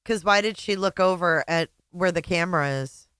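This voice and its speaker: A woman giving casual reaction commentary on old interview clips, chatty with quick asides. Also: fairly low pitch, fairly bright tone, smooth, dry recording, studio quality.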